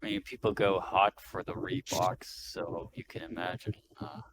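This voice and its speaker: Clear background noise sits behind a man's deep voice on a voice chat microphone. He is a tabletop game player, talking casually with the group. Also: moderate pace. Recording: noisy mic with steady background noise.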